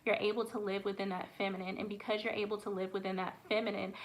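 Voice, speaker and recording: A woman's voice: medium pitch, vocal fry, fairly bright tone, low-quality recording. A woman in her late twenties hosting a casual livestream and answering viewer questions as a dating coach. She talks frankly, with lots of fillers. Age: late 20s. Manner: frank, conversational, many fillers.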